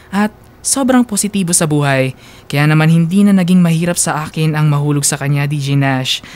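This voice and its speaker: A man with a Filipino accent narrating a first-person love story as a radio host. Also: a little high.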